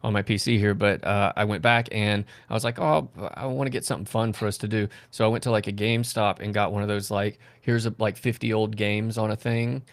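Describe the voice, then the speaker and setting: Low-voiced man in his thirties, chatting casually. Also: fairly even intonation, fairly quick, hardly any pauses, dry recording. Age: 30s. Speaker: comedy stream host. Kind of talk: casual gaming chat.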